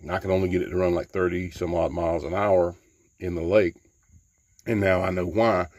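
Deep-voiced man, folksy and rambling. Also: fairly even intonation, brisk, darkish tone, no echo, clean studio sound. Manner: folksy, rambling, many fillers. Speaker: DIY mechanic. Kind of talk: casual how-to walkthrough. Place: outdoors beside a jet ski.

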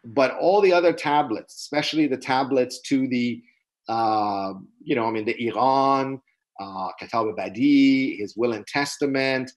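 Man, middle-aged, native Persian speaker, earnest webinar presenter, stop-start delivery, webcam sound from a small room. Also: medium pitch. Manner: halting, many restarts and fillers, earnest. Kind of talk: unscripted lecture answering questions. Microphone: webcam on a video call.

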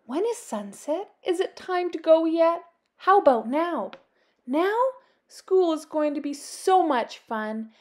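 A woman voicing an eager, sweet young boy bat from a storybook, excitable and childlike. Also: very high voice, slow, voice pushed up, full character voice. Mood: impatient, excited.

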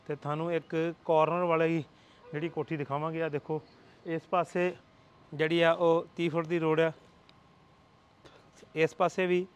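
A middle-aged Punjabi man walks through a bare new house, describing it in a steady, explanatory way. His voice sits a bit high and stays level in pitch. Echo from the empty rooms lingers around his lapel-mic sound. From Punjab, India. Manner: steady, explanatory, mixes English words into his speech. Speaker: real estate agent giving a house tour. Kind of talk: walking property tour commentary.

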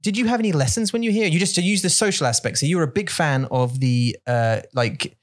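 A man's voice: a little high, animated, quick pace. A man in his thirties hosting a casual podcast chat, chatty and questioning, laughing easily, on a studio mic. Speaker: podcast host. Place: home studio, indoors. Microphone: studio mic on boom arm, headphones.

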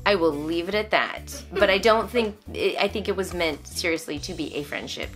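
A woman in her thirties or forties in casual, animated conversation to camera, reviewing things and breaking off her own sentences, recorded in a room at home. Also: fairly low pitch, normal speed, soft background music. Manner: animated, conversational, self-interrupting. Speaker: vlogger and homeschooling mother. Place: small furnished room at home, indoors.

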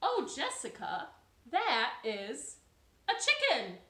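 A self-assured female storybook frog , put on by a woman who explains things as if she knows best. She takes her time, with lilting high pitch. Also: huge pitch swings, slow pace, pushed and bright, echoey room, full character voice.

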